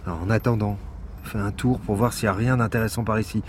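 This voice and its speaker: An actor playing a gruff, sarcastic police investigator in a French audio drama, deadpan and a little hesitant, with a French accent. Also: male voice, lowish voice, fast talker, slight background noise, natural voice. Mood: brisk, weary. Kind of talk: naturalistic audio drama acting.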